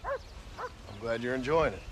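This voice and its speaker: An actor playing a gruff but caring reformed outlaw father in a Western game, plainspoken and dryly wry, with an American Western accent. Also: mid-range voice, wildly swooping pitch, takes it slowly, background noise. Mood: wry, coaxing. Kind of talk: video game cutscene acting. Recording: outdoor animal and horse sounds throughout.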